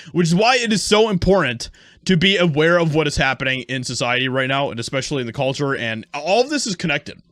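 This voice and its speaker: A man's voice: a little high, fairly bright tone, no echo, clean studio sound. A man giving emphatic, scornful, opinionated commentary as an online video host. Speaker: YouTube commentator.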